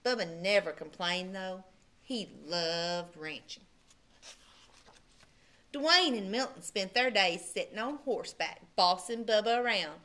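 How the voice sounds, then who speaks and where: A woman's voice: mid-range voice, a little slow. A woman librarian reading a folksy Western picture book aloud to children. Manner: animated, folksy storytelling. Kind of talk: storybook read-aloud.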